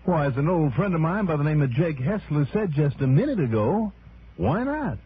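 A man's voice: on the high side, sing-song, moderate pace, slightly rough, exaggerated voice. An actor playing a confident, wry male insurance investigator in an old radio drama. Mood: breezy, teasing. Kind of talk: 1960s radio drama acting and first-person narration. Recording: muffled old radio recording.

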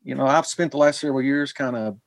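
Halting forty-something man, dry sound. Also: mid-range voice, creaky, no echo. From Kentucky. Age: 40s. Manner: halting, many ums and restarts. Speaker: musician guest. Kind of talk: casual interview chat.

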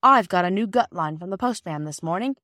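A woman's voice: fairly low pitch, animated, fairly quick, bright, forceful tone, dry recording. A proud schoolboy from a storybook voiced by a woman narrator. He sounds boastful and contrary, acting rather grand, in a dry, close recording. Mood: grand, disagreeable.

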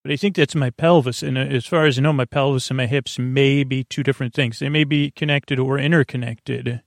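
A man with a creaky voice hosting a bedtime podcast, talking in a lulling, halting, meandering monologue that keeps drifting into tangents. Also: medium pitch, steady tone, no room sound, clean studio sound. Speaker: podcast host.

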